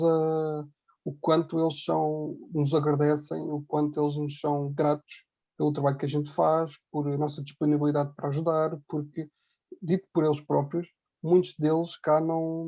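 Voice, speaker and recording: Male interview guest, European Portuguese accent, fairly high and mellow voice, conversational and repetitive, on slightly muffled lo-fi audio. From Ribatejo, Portugal. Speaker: interview guest, emigrant hospital worker. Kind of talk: casual conversational testimony.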